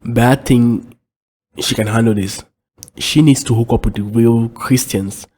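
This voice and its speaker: Male commentator, blunt closing remarks, dark mellow tone, husky. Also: mid-range voice, fairly even intonation, a little slow. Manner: blunt, opinionated.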